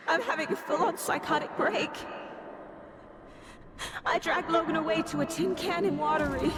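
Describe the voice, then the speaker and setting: An anguished female investigator from a video game, tears into herself in slow, weighted phrases. The voice is high and full of fry, with loud ambience behind. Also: heavy background noise. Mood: distraught. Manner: bitter self-blame in short, weighted phrases. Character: anguished woman investigator, guilt-ridden and despairing. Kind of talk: dramatic video game acting.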